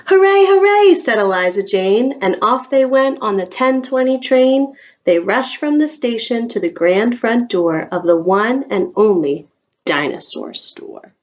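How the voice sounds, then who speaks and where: A woman reading a picture book aloud to a young child, expressive and coaxing, in the manner of a teacher. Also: mid-range voice, measured pace, dull audio. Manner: expressive, coaxing talk aimed at small children.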